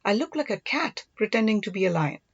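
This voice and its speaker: A spirited young princess from a storybook, played by a woman reader, full of quick questions and exclamations. Her pitch moves in lively swings, in a dry, slightly dull recording. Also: husky, no room sound. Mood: doubting, surprised. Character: gentle young Pallava princess, glum yet spirited sister.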